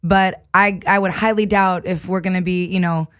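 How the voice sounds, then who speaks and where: A woman's voice: on the low side, steady tone, normal speed, a bit bright. A woman hosting a talk show, speaking in a casual, conversational style.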